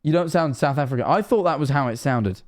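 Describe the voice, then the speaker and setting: A man's voice: on the high side, animated, quick pace, a little mellow. A Scottish man of 21 streaming casually, rambling and self-mocking, swearing often.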